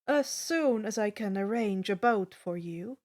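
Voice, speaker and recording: A woman voicing a gentle old male centaur mentor who talks in a calm, formal, measured way. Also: mid-range voice, natural voice. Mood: calm, reassuring.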